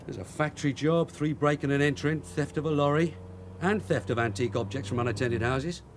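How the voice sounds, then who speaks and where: An actor playing a streetwise, bossy London detective sergeant in a radio drama, with a London accent and brisk, colloquial, teasing talk. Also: male voice, a little high, slight background noise, close to an everyday voice. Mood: brisk, dry.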